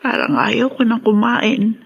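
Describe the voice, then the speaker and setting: A man playing a lonely, despondent parent in a radio drama monologue, with a husky, gravelly, sighing delivery. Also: very high voice, steady tone, a little slow, fairly bright tone, muffled recording, exaggerated voice. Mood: weary, sorrowful. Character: lonely, despondent parent left alone at home.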